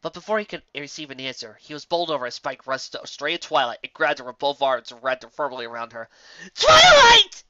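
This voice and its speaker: Woman reading a story, low but bright voice, lively delivery, dull dry recording. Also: fast talker, hardly any pauses, no room sound. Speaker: narrator, fan fiction reader. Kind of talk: expressive storybook narration. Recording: dull, muffled audio.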